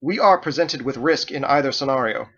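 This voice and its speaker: A man's voice: mid-range voice, brisk, a bit bright, lo-fi sound, voice pushed up. A man voicing a stern, commanding woman, a ship's first mate, with clipped, formal speech for an audiobook. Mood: insistent. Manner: clipped, formal, declaiming like in court.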